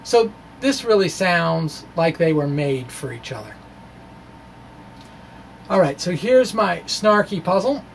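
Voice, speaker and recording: High-pitched, creaky American man explaining physics. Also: very animated, a little hiss or hum. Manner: halting, informal explaining with trailing asides. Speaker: physics video blogger. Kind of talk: informal physics lecture to camera. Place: small indoor room with bookshelves. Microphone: microphone on a stand close in front.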